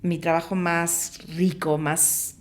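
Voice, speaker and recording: A woman's voice: lowish voice, slow pace. A middle-aged woman with a Mexican Spanish accent, a film producer chatting in an interview, telling stories with lots of restarts and fillers. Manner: animated storyteller, many restarts and fillers. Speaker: film producer and director, podcast guest. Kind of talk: casual conversational interview.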